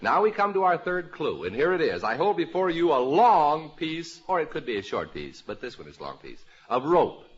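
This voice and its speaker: A man's voice: on the high side, big pitch swings, normal speed, few pauses, husky. A man hosting a mid-century radio panel quiz, announcing in a formal, theatrical way with joking asides. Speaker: quiz show host. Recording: dull old radio recording.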